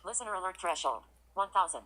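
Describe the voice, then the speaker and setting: A woman's voice: lowish voice, expressive, quick pace, bright, forceful tone. A synthetic woman's voice working as a screen reader, giving clipped announcements of menus and buttons. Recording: clearly noisy, with clicks and tapping.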